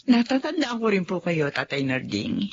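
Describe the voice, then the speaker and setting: An actress playing a widowed school principal who speaks politely and calmly to her lover's father. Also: medium pitch, normal speed, dull audio, a little hiss or hum, natural voice. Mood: earnest. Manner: polite, calm, respectful. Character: widowed woman principal, humble, devoted lover. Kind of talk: radio drama acting.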